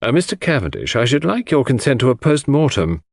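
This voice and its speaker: A man voicing a self-important, brisk doctor, officious and formally polite, in an audiobook mystery. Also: medium pitch, big pitch swings, vocal fry, darkish tone, no room sound, clean studio sound, pitched above the performer's own voice. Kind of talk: dramatic audiobook mystery reading.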